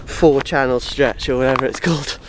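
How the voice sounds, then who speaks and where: A man's voice: medium pitch, fairly even intonation, brisk. A surfer chatting casually outdoors on a cold coast, joking about his cold hands and gloves. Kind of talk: casual chat on a surf trip. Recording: outdoor camera audio with background noise.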